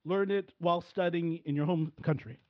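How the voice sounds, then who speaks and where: Male podcast host, high-pitched, stumbling and rambling, dry lo-fi recording. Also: no room sound. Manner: rambling, halting questions with many restarts. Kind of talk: interview podcast hosting. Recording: dry, dull lo-fi audio.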